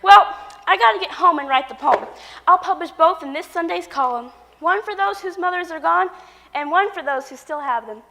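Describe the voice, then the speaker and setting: A woman's voice: extremely high pitch, lively intonation, normal speed, gravelly, pushed and bright, heavily acted. A woman playing a devoted, earnest grown daughter with a dramatic streak, delivered theatrically for a stage comedy. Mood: eager, fond. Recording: echoey hall with long reverb.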